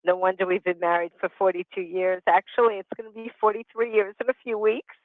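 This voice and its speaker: A woman's voice: mid-range voice, flat delivery, quick pace, no room sound, phone-quality sound. A woman in her sixties giving an explanatory, partly conversational presentation over a telephone conference line. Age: 60s. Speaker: business consultant and presenter. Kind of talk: prepared presentation with conversational asides.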